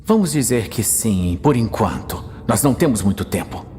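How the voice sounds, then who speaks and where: A man voicing a weary, resolute archmage in a fantasy audio series, speaking in a grave, measured way. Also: on the low side, sing-song, mellow, in a large hall, slight background noise. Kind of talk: dramatic fantasy audiobook acting.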